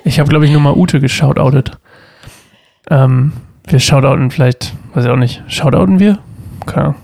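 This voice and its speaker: A young man with a cold hosts a casual chat, his nose stuffed up as he jokes and wanders off mid-sentence. His voice has a gravelly fry. The sound is close and dry. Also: medium pitch, normal speed, a little mellow, no echo. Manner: joking, rambling, self-interrupting. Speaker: podcast co-host.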